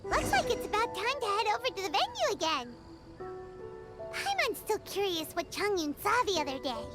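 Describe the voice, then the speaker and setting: A woman's voice: very high voice, sing-song, moderate pace, over loud music. A woman voicing a tiny, cheerful, childlike fairy companion in an anime video game. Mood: chirpy, curious.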